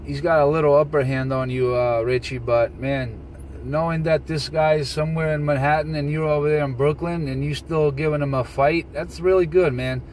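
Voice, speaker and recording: A man with a voice a little above average pitch chats casually on CB radio. He repeats his phrases and tosses in "man" and "brother." A faint bed of radio and vehicle noise sits with the voice. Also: fairly even intonation, moderate pace, a little mellow, poor audio. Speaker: CB radio operator.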